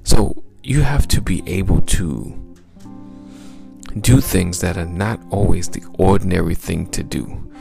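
A man hosting a motivational podcast as a mindset coach, with a smooth, gravelly low voice and upbeat, repetitive exhortations. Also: a little slow, mellow, soft background music.

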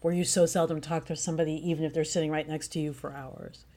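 A woman's voice: sits low, fairly even intonation, quick pace, dark tone. A woman being interviewed as a guest playwright, talking conversationally with effusive, emphatic praise.

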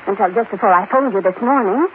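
A woman's voice: medium pitch, quick pace, close to an everyday voice. A woman playing an anxious, refined woman in an old radio drama, speaking earnestly and politely with some hesitation. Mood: worried. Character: anxious, refined woman worried about her missing cousin. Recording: noisy, narrow-band old radio broadcast.

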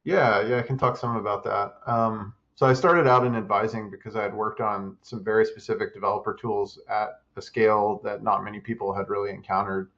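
Low gravelly-voiced man explaining investing. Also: fairly even intonation, fairly bright tone, lo-fi sound. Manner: measured, with many fillers and restarts. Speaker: interview guest, investor and startup advisor. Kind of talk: casual interview answers.

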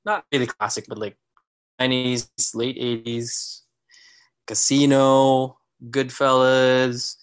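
Brief: thirties male guest, laid-back storyteller, lots of fillers, mid pitch. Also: takes it slowly, clear, resonant tone. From Philippines. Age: 30s. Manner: relaxed and reminiscing, with fillers. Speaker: podcast guest. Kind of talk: casual chat.

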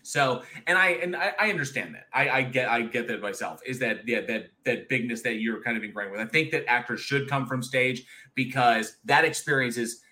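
A man's voice: mid-range voice, moderate pace, hardly any pauses, sharp tone. A man in his thirties co-hosting a casual live chat show, candid and swearing freely, often restarting his sentences. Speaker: co-host of horror review show.

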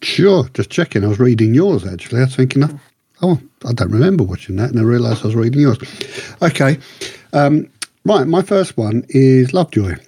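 A man's voice: mid-range voice, lively intonation, a little fast, mellow, husky, no room sound. A man co-hosting a podcast, chatting casually and rambling a little, repeating words for emphasis. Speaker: podcast co-host. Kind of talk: casual review chat.

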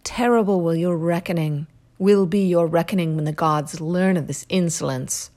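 A woman's voice: lowish voice, moderate pace, darkish tone, natural voice. A woman reading aloud, voicing a haughty, commanding goddess who speaks in a grand, declaiming way. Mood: threatening.

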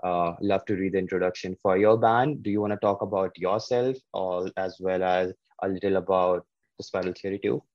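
A man hosting a casual podcast interview, often halting and restarting his words. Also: low voice, fairly even intonation, a little mellow, no room sound, muffled recording. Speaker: podcast host.

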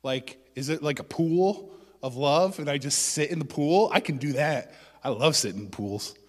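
A male pastor giving a conversational sermon, with joking asides and loud bursts of emphasis. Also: on the high side, moderate pace, long reverb tail.

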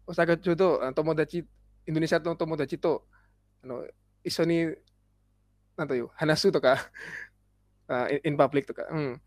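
A young man, a guest, chatting casually in halting English and Japanese with many fillers and pauses, speaking as an in both. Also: fairly high pitch, fairly even intonation, no echo. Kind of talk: casual chat.

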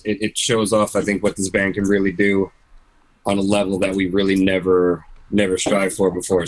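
A man who plays bass in a band, talking earnestly in a casual interview. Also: low-quality recording.

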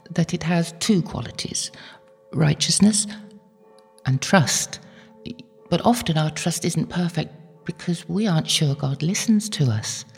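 An actress playing an elderly, devout medieval holy woman in an audio drama, gentle and wistful, musing hesitantly. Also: on the low side, creaky, breathy edge, exaggerated voice. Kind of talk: audio drama acting, intimate prayerful monologue. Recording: long hall-like reverb, faint music behind.

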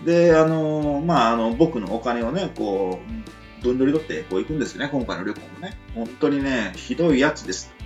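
Animated, joking male podcast host. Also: medium pitch, a little fast. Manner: joking, playful, rambling with hesitations. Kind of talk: casual chat, light banter. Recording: dull lo-fi audio with faint music.

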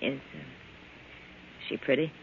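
An actress playing a tough, possessive nightclub boss wounded in love, with a sardonic, melodramatic delivery in old-time radio style. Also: female voice, takes it slowly, lightly acted. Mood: bitter, probing. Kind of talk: 1940s radio drama acting. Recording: dull, slightly noisy old radio recording.

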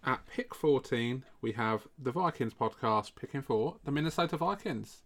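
A man's voice: medium pitch, animated, normal speed, fairly bright tone. A man hosting a podcast mock draft, chatty and a bit sniffly from hay fever. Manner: chatty, apologetic asides. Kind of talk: casual chat.

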